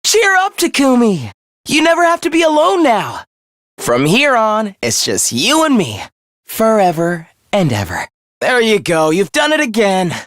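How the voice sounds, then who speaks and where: A man's voice: sits high, huge pitch swings, a little slow, fairly bright tone, dry recording, clean studio sound, exaggerated voice. A male actor voicing a sadistic young male villain in a video game, taunting and theatrical with a mockingly polite, obsessive manner. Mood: smug, sinister calm. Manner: taunting, theatrical, mock-cheerful.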